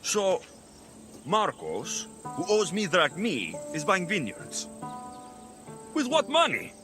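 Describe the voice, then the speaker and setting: A man's voice: sits high, wildly swooping pitch, takes it slowly, over music, exaggerated voice. A man playing a cocky, wry young mercenary with a Greek accent in a video game. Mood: incredulous. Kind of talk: video game cutscene acting.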